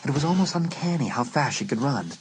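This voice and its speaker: A man's voice: medium pitch, expressive, fast, noisy background. A man narrating a dramatised horror audiobook as a scripted story. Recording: loud music and sound under the voice. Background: loud music.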